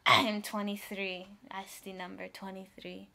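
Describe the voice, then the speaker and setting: A woman's voice: mid-range voice, flat delivery, moderate pace, gravelly, bright, forceful tone, a little hiss or hum. A woman voicing a naive young Mexican immigrant in an improvised comedy interview, with a Mexican accent and a hesitant, rambling, childlike delivery. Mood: hesitant, confused.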